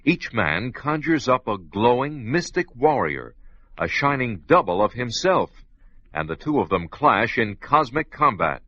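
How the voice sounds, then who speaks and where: A man's voice: mid-range voice, big pitch swings, a little slow, fairly bright tone, no echo. A man narrating a radio play in a dramatic, emphatic style. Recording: dull lo-fi radio play with music.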